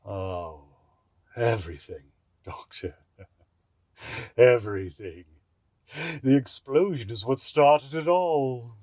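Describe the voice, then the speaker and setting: An actor playing a cunning, calmly menacing escaped asylum inmate in an audio drama, deliberate, theatrical and condescending, with a husky voice. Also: male voice, mid-range voice, no room sound, natural voice. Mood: smugly intense.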